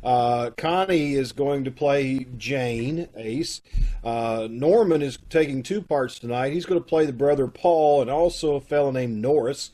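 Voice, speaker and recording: A man's voice: medium pitch, measured pace, warm tone. A middle-aged man chatting casually as host of an amateur troupe, introducing people over a video call. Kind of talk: casual chat, introducing cast and show. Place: indoor room at home, video call. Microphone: video call microphone.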